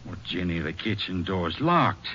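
A man's voice: deep voice, big pitch swings, a little slow, sharp tone, exaggerated voice. An actor playing a folksy Texas farmer who soothes and brushes off his wife, in 1950s radio drama style. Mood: reassuring, impatient. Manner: folksy rural phrasing. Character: sleepy Texas farmer husband, dismissive and soothing. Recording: dull hissy old radio recording.

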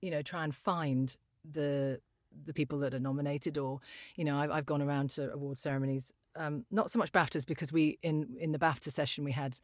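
Woman from the UK, very low voice, chatty, laughs a lot, fillers and restarts, dry close sound. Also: steady tone, fairly quick, hardly any pauses, no room sound. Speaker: voiceover artist guest. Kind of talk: casual interview chat.

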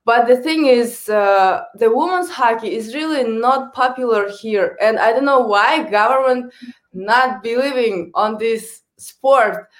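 A woman's voice: fairly high pitch, a little slow. A young adult woman from Kazakhstan, a native Kazakh speaker with a Kazakh accent, answering interview questions hesitantly in English as a hockey coach. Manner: hesitant, searching for words in non-native English.

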